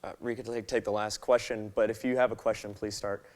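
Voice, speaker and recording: A man's voice: fairly low pitch, level tone, brisk. A man moderating a town hall panel, asking conversational questions and managing the time.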